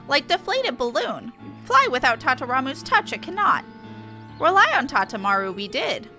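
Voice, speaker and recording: Woman voicing a squawky, bright birdlike creature. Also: sits high, full character voice. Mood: glum. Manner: squawking, speaks in inverted sentences. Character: gruff, broody birdlike beast-tribe creature with inverted grammar.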